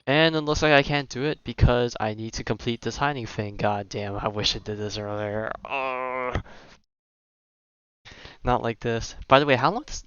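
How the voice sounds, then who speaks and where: A man's voice: mid-range voice, moderate pace, a bit bright, clear, resonant tone, dry recording, highs cut off. A young man streaming a game and chatting casually, slangy and muttering as he thinks aloud. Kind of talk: casual live gameplay chat.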